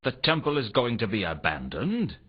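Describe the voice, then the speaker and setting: A man's voice: on the low side, huge pitch swings, normal speed, breathy edge, exaggerated voice. A man playing a world-weary, dryly sardonic private detective in a noir video game. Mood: dry, inquisitive. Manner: dry, sardonic. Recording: dull, lo-fi game audio.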